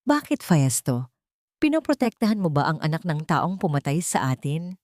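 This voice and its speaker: A woman's voice: deep voice, very animated, normal speed, darkish tone, no echo, clean studio sound. A woman voicing a cruel, scheming rich wife in an audiobook melodrama, with a haughty, taunting and menacing manner. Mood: accusing.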